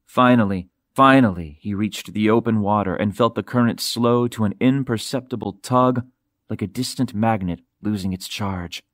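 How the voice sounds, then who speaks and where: A man reading an audiobook as a narrator, with dramatic, expressive and suspenseful delivery, close to a studio microphone. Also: fairly low pitch, normal speed, no room sound. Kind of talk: dramatic scripted fiction narration.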